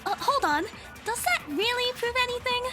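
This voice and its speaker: A woman's voice: very high voice, expressive, moderate pace, over music. A woman playing an earnest, determined young woman acting as defender in an anime-style audio drama. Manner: earnest, insistent.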